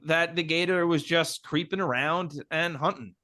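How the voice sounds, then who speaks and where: A man's voice: a little high, moderate pace, bright, forceful tone, no room sound, studio quality. A man in casual podcast chat, blunt and sardonic, swearing freely. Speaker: podcast guest.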